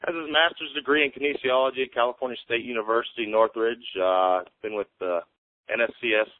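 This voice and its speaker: A man hosting a podcast interview in a casual way, introducing his guest with frequent ums and pauses. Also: medium pitch, steady tone, narrow, tinny audio. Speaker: podcast host, strength coach.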